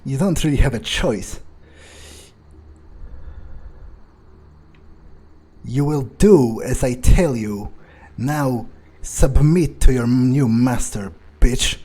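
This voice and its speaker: Man roleplaying a slow-talking, arrogant vampire. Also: medium pitch, mellow. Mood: contemptuous. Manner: drawling, halting, smug, contemptuous. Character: arrogant, menacing, soul-hungry male vampire predator. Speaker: game player roleplaying. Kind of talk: improvised in-character game roleplay.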